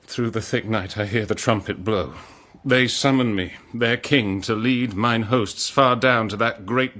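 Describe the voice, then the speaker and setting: A man playing a betrayed, noble king in a classical verse drama, solemn and declamatory, with long pauses. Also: on the low side, pushed and bright. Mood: resolute. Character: betrayed noble old-world king, anguished, forgiving husband.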